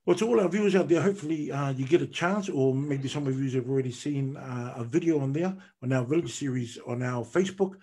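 A man's voice: medium pitch, fast, hardly any pauses, darkish tone, husky. A man hosting a live online talk show over a video call, asking questions hesitantly and searching for his words. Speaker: host.

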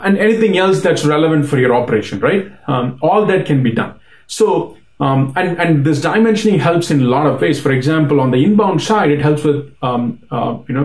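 The man at the whiteboard end of a bare, echoey meeting room, explaining procedures haltingly in a creaky voice. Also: mid-range voice, a little mellow. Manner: halting, repeats words, frequent "you know". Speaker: presenter, company principal. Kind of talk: informal explainer talk to camera. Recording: roomy, echoey indoor sound.

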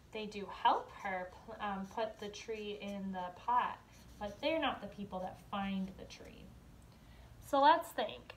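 A woman's voice: mid-range voice, fairly bright tone, slight background noise, poor audio. A young woman teaching kindergarten from home, explaining simply and asking questions as if the children answer. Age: young adult. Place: small room at home. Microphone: device camera a step or two away.

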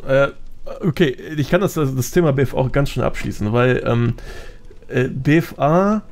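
A man's voice: mid-range voice, normal speed. A man talking casually as a podcast guest, colloquial and sprinkled with English gaming slang.

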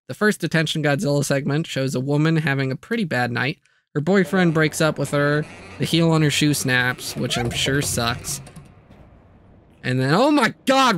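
High-pitched man narrating a jokey video review. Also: a bit bright. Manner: jokey, exaggerated mock horror and asides. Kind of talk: scripted video-essay narration, casual and comedic.

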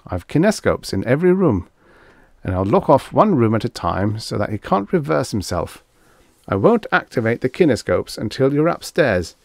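A man's voice: medium pitch, creaky, dry recording, studio quality. A man reading an audiobook, voicing a take-charge man who speaks assertively and reasons things out aloud. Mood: commanding. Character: commanding, take-charge man giving brisk orders. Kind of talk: audiobook character dialogue.

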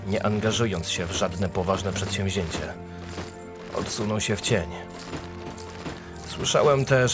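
A man playing a sombre, matter-of-fact elven soldier in a fantasy audio drama, speaking in a grave, measured way. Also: lowish voice, close to an everyday voice.

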